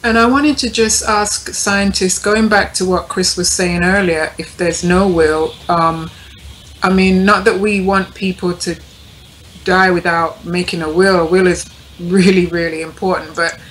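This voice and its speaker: A woman's voice: fairly low pitch, steady tone, measured pace, a little hiss or hum, rough recording. A woman on a talk show panel, putting questions in a conversational, considered way.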